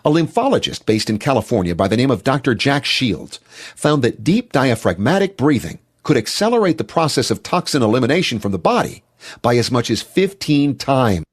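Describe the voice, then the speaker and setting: A man's voice: medium pitch, sing-song, a little fast, slightly rough. A man giving a motivational health talk in an emphatic, declarative manner.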